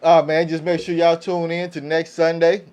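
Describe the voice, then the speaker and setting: A man's voice: on the high side, monotone, normal speed, darkish tone, smooth. A man chatting casually as a podcast co-host. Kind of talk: casual chat.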